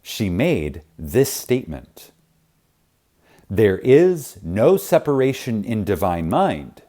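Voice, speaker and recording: A man narrating a spiritual audiobook in a reverent, emphatic manner, with deliberate pauses. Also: lowish voice, big pitch swings, mellow. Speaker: audiobook reader. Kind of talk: scripted narration of a spiritual self-help audiobook.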